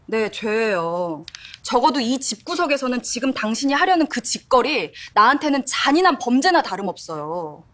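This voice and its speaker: A woman's voice: on the high side, sing-song, normal speed, a bit bright. A woman voicing a cold, resolute wife in an audio drama, firm and cutting. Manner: firm, cutting, clipped replies.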